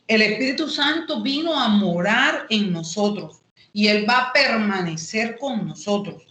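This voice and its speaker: A woman with a Colombian Caribbean coast accent teaching an online Bible lesson, explaining haltingly and repeating phrases as she goes. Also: medium pitch, pushed and bright. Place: indoor room, online class. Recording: echoey room, online call audio.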